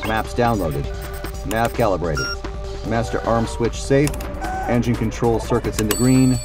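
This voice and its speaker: A very low-voiced man acting a fighter pilot narrates in terse, clipped military phrases. He is intense and hard-edged, in a dramatic first-person audio drama, with loud music and engine rumble behind him. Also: measured pace, lightly acted. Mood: tense, focused.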